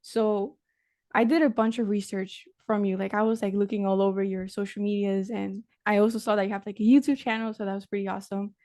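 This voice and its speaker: A woman's voice: medium pitch, steady tone, brisk, dark tone, no room sound, clean studio sound. A young woman from Mexico hosting a podcast interview in a casual, rambling way, with many fillers and restarts. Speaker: podcast host.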